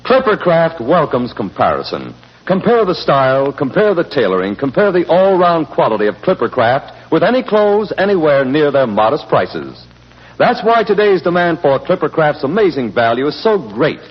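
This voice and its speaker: An American man hosts and reads sponsor copy as a 1940s radio announcer. His husky voice is slightly high and moves with lively inflection, over music. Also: moderate pace. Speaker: announcer, host and sponsor's spokesman. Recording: muffled old radio recording with music.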